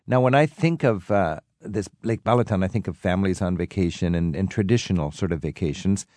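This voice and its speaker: Fast-talking male radio host, dry studio. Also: low-pitched, a little mellow, no room sound. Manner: curious, quick follow-up questions. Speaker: radio travel show host. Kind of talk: conversational radio interview.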